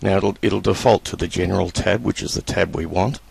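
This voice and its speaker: A man presenting a screen-recorded computer tutorial, talking in a relaxed, halting way with many fillers. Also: low voice, gravelly, husky.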